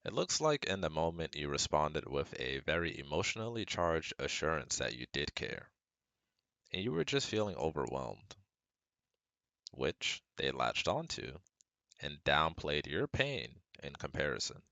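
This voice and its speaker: A man's voice: rumbling low, very animated, unhurried, gravelly, pushed and bright, no echo, exaggerated voice. A man playing a caring, protective best friend who gives firm, earnest advice straight to the listener in an ASMR roleplay. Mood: calm, instructive. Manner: soothing, earnest, instructive, speaking directly to listener.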